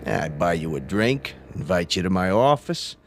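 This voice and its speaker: An actor playing a self-assured, shifty gangster boss in a crime radio drama, talking in mobster slang. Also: male voice, low voice, big pitch swings, moderate pace, faint music behind. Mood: guarded, threatening. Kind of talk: hard-boiled crime radio drama acting.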